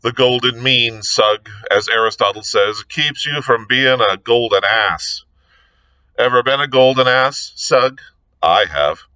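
A man voicing a blithe, cheeky gentleman sleuth with a breezy, flippant, chatty manner. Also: mid-range voice, unhurried, no room sound, poor audio. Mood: playful, teasing.

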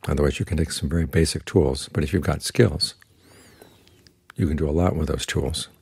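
An older man, a Buddhist monk, giving a slow, calm, plainspoken guided meditation talk. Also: rumbling low, creaky, husky, no echo. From United States.

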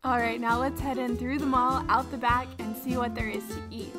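A woman's voice: fairly high pitch, flat delivery, fairly quick. A young woman hosting a casual food vlog, speaking with upbeat enthusiasm. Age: young adult. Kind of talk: casual travel vlog narration. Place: outdoor night market. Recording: busy outdoor market crowd, music behind.

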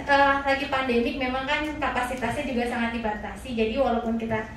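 A woman's voice: a little high, level tone, fast. A woman hosting a clinic meetup on a handheld mic, chatty and full of fillers. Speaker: event host at clinic. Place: clinic showroom, indoors. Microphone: handheld mic, far camera. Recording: echoey room, distant mic, background noise.

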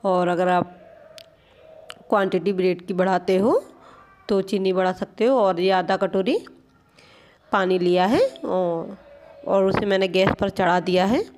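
A woman hosts a home cooking lesson, narrating each step patiently as she works at a gas stove. She talks in a plain, even, mid-pitched voice and keeps drawing attention to what is happening in the pan, recorded on a phone in a home kitchen. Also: a little slow, pauses often, slight background noise. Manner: patient, explanatory, keeps pointing things out. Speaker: home cooking channel host.

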